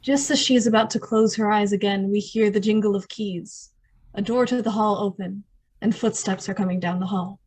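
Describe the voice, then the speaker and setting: A woman's voice: mid-range voice, steady tone, moderate pace, a little mellow, breathy edge, close to an everyday voice, poor audio. A woman narrator reading scripted old-time radio drama narration.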